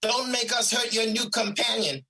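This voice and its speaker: Woman acting menacing, raspy villain emotion. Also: fairly high pitch, normal speed, pushed and bright, exaggerated voice. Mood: threatening. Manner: threatening, insistent. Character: menacing, demanding personified negative emotion, hostile gang member. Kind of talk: audio drama villain acting.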